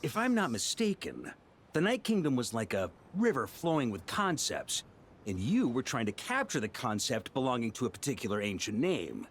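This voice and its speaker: An actor playing an easygoing veteran knight leader in a video game, musing and breezy with a theatrical touch. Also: male voice, mid-range voice, very animated, normal speed, a little hiss or hum. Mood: musing explanation.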